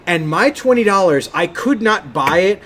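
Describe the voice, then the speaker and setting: A man's voice: high-pitched, lively intonation, moderate pace, fairly bright tone, slight background noise. A man co-hosting a gaming podcast, chatting casually and enthusiastically. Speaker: podcast co-host and game streamer.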